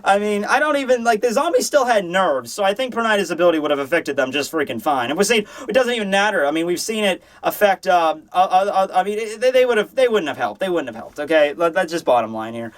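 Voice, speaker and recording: A man hosting a manga review, talking in a casual, opinionated, rambling and animated way with casual swearing. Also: high voice, quick pace, hardly any pauses. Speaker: host of a manga review show.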